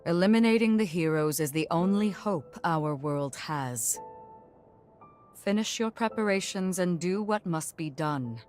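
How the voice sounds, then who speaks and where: A woman playing a composed, authoritative noble mentor in a video game, solemn, formal and deliberate. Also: on the low side, animated, darkish tone, soft background music. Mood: grave, firm. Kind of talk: video game cutscene acting.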